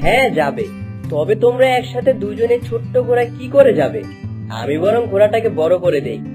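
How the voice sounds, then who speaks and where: Lively, confident young fairy-tale hero played by a man. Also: sits high, fairly quick, music in the background. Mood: kindly, determined. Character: brave, kindly young hero with a magic wand. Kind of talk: cartoon story dubbing.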